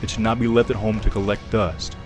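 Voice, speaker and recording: A man's voice: fairly low pitch, flat delivery, a little fast, music in the background. A man giving scripted narration and credits in an earnest, declarative manner.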